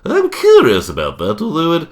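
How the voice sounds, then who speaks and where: A man voicing a fretful, sceptical goose sidekick in a comic sci-fi audio drama, querulous and drawn-out. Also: on the high side, wildly swooping pitch. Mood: curious.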